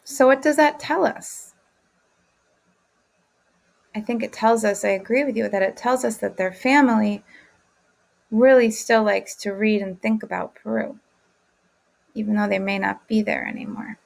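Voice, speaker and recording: Creaky-voiced woman teacher leading a read-aloud. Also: mid-range voice, a little slow, long pauses. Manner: encouraging, prompting with questions. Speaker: teacher reading aloud to children. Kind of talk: interactive picture-book read-aloud lesson.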